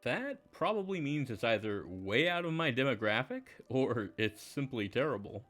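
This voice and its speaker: A man playing an awkward, mild high school boy, narrating in first person with a hesitant, understated delivery. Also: big pitch swings, moderate pace, fairly bright tone, faint music behind. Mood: dry, understated. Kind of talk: first-person visual novel narration and dialogue.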